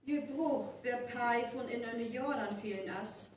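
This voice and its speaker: Eine Frau spielt in einem live aufgeführten nordfriesischen Hörspiel eine gesprächige, ernsthafte Ethnologin. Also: female voice, a little high, slow. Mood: insistent. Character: talkative woman ethnologist from Kiel university, earnest. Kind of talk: live stage radio play acting in North Frisian. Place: live stage performance in a hall. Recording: roomy echoing live recording, distant, faint noise.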